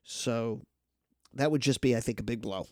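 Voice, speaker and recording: A man's voice: mid-range voice, moderate pace, dark tone, dry recording, studio quality. A man with a nasal, congested voice from a cold, hosting a casual news talk show and rambling with many restarts. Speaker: podcast host.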